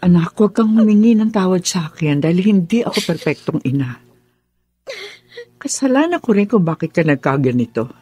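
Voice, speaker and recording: An actress playing a gentle, self-sacrificing older mother with a soft husky voice and Filipino accent in a radio melodrama. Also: on the low side, lively intonation, brisk, no room sound. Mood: consoling sorrow.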